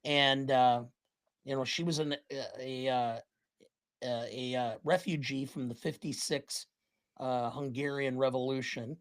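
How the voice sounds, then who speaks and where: Podcast co-host, slow and halting, fills pauses with ums and uhs. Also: mid-range voice, fairly even intonation. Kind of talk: casual chat.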